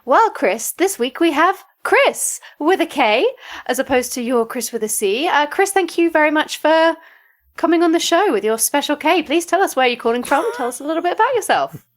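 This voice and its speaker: A female podcast co-host with a fairly high voice and very lively intonation. She presents playfully and conversationally, teasing and cracking jokes as she goes. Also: moderate pace. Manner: joking, teasing.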